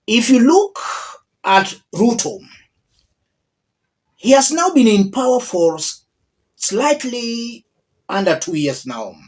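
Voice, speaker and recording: A man with a Kenyan accent giving political commentary to viewers, emphatic and deliberate, repeating key phrases for weight. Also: extremely high pitch, sing-song. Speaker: political commentator, channel host.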